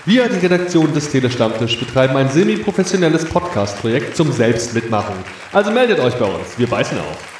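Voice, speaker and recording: A man's voice: mid-range voice, big pitch swings, brisk, hardly any pauses, some chatter behind. A man reading a scripted podcast outro in a formal, playfully ornate manner. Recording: very roomy, distant, faint noise.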